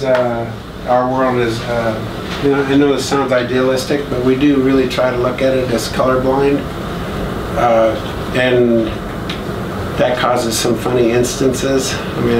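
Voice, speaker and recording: A man giving a relaxed guest lecture and telling joking anecdotes to an audience. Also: mid-range voice. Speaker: guest speaker. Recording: echoey room, distant mic, air-conditioning hum.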